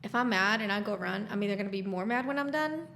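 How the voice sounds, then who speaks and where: A woman in her late twenties talks quickly as a podcast guest. She is chatty and frank, with animated, candid delivery. Her voice sits at an everyday pitch for a woman. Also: a little hiss or hum. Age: late 20s. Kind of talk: casual chat.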